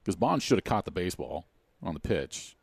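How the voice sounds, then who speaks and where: A man's voice: sits low, very animated, fairly quick, creaky, dry recording. A man giving conversational analysis as the color commentator on a baseball broadcast.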